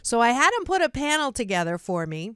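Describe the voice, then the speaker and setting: A woman's voice: on the high side, big pitch swings, fairly quick, fairly bright tone, clean tone, dry recording, clean studio sound. A middle-aged woman hosting a radio talk show, chatty and bubbly, laughing often and restarting her sentences. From Canada. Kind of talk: casual radio chat with guests.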